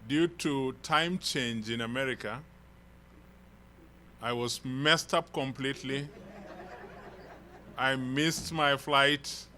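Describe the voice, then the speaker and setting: Kenyan male church elder, unhurried storyteller, bright clear tone. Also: mid-range voice, a little hiss or hum. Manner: slow, rambling storytelling with restarts. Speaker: visiting elder preacher. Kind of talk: informal sermon introduction. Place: church hall.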